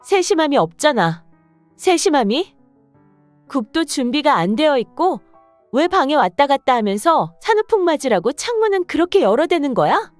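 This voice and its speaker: A woman's voice: high voice, darkish tone, no echo. A woman voicing an irritable, demanding young wife in a scripted radio story reading. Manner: accusing, complaining. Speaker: voice actor reading the wife's lines.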